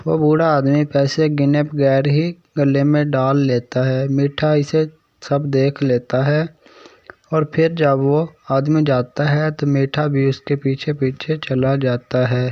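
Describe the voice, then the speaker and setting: A man's voice: a little high, little pitch movement, slow, dry recording. A man reading scripted narration for a cartoon story. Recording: dry, slightly dull sound.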